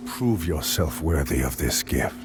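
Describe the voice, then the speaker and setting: A solemn, pious crusader mentor for a fantasy game. He speaks slowly and grandly in archaic, formal phrases, with a lively rise and fall. Music plays clearly behind him. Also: extremely low pitch, heavily acted. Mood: solemn, reverent. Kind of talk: dramatic fantasy game acting.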